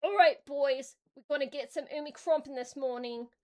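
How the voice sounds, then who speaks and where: A woman voicing a loud, bossy male orc warboss in a put-on British accent, barking orders. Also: normal speed, natural voice. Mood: rousing. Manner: booming, blustering commands.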